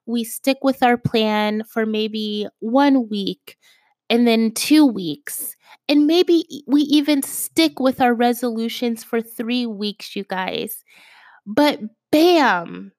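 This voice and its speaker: A woman's voice: on the high side, no echo. A woman talking casually and chattily to her viewers, often asking "right?" and "you guys". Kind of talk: casual motivational talk to viewers.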